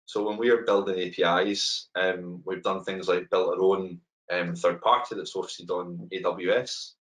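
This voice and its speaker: Man, Glaswegian accent, very low voice, middle-aged, casual webinar talk, video-call webcam. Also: a little slow, rough recording. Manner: relaxed and conversational, frequent ums and repeated words. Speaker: head of open banking technology, webinar speaker. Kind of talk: webinar presentation. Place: home room, indoors.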